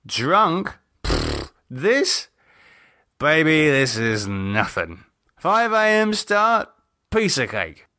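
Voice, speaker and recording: A man playing a bitter, swearing drunk, swinging widely in pitch. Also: huge pitch swings, extremely slow, bright, forceful tone, dry recording, clean studio sound, heavily acted. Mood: flippant. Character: bitter drunk man, sardonic and self-loathing. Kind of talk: audiobook character dialogue.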